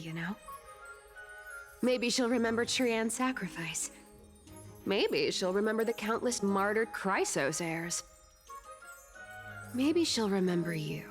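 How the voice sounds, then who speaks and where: An actress voicing a sly, greedy female thief demigod in a video game, teasing and theatrical. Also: medium pitch. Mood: grave, challenging. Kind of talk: video game character acting.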